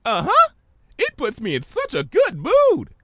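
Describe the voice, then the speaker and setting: Man putting on a squeaky, bubbly cartoon girl pony. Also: unhurried, no echo, studio quality, full character voice. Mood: cheerful, scatterbrained. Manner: excitable, exclaiming, playful. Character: bubbly hyperactive young female cartoon pony, playful.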